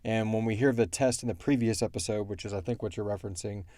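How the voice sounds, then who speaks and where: Man, low relaxed voice, very dry close sound, casual rambling explainer of fictional lore. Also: quick pace, no room sound. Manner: rambling, quotes characters in brief asides. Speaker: show creator answering listener questions.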